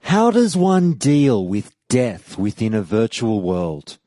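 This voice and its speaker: A man's voice: lowish voice, sing-song, slow pace, dark tone, faint music behind. A man hosting a history podcast, reading a scripted, earnest account and quoting old forum posts. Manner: earnest storyteller, reads quoted posts aloud. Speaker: podcast host.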